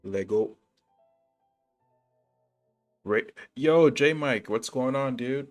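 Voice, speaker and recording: A man's voice: mid-range voice, drawn-out words, faint music behind. A man livestreaming a video game, chatting casually and talking to viewers in chummy slang. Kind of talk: casual gaming stream chat.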